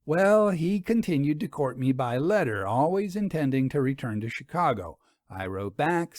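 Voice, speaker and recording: A man's voice: medium pitch, a little mellow, dry recording, clean studio sound, close to an everyday voice. A man voicing an earnest, anxious woman companion in an audiobook story. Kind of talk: audiobook character dialogue.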